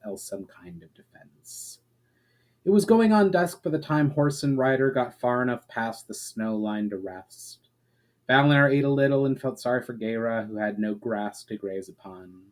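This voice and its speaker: Man giving an expressive, measured reading. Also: medium pitch. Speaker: author and channel host reading his own book. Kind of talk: dramatic storybook reading of fantasy prose.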